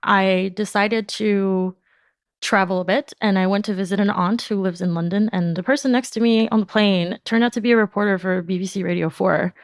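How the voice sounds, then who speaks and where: A woman from New York, a native Spanish speaker, answering interview questions with animated storytelling and frequent laughter. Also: medium pitch, normal speed, clear, resonant tone, no room sound, clean studio sound. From New York, United States. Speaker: podcast company founder, guest.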